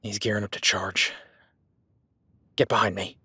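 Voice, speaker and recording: A gruff cowboy hunter, voiced by a man, who barks orders and swears freely. His voice is husky and breathy, with a tight, tense edge to it. He drops to hushed whispers when stalking. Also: lowish voice, little pitch movement, a little slow, no echo, full character voice. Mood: urgent, alarmed. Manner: swearing, commanding, urgent. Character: gruff rugged cowboy hunter tracking a beast. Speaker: ranch hand tracking a beast. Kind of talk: audio roleplay.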